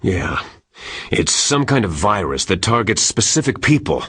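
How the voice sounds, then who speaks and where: Husky, secretive military adviser. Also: male voice, lowish voice, sing-song, a bit bright, no echo, full character voice. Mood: grave, confiding. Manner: measured, conspiratorial. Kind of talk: video game voice acting.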